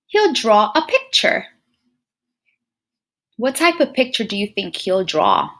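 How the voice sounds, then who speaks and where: A woman teacher reading a picture book aloud to young children, expressively, pausing to muse and put questions straight to her listeners. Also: fairly high pitch. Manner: expressive, addresses children directly with frequent questions and musing hums.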